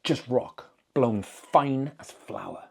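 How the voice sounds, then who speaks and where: A man's voice: medium pitch, extremely slow, gravelly, breathy edge, full character voice. A man voicing a distraught, tired and dogged sheriff in a dramatic story reading, insistent and emphatic. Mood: grim, insistent. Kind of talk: dramatic audiobook character reading.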